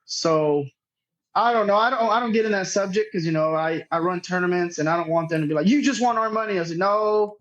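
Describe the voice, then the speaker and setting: A man's voice: sits high, brisk, fairly bright tone. A man from chats casually as an interview guest, telling anecdotes in an informal, self-deprecating way. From Northeast Texas, United States. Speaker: podcast guest, tournament director. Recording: dull, lo-fi remote audio.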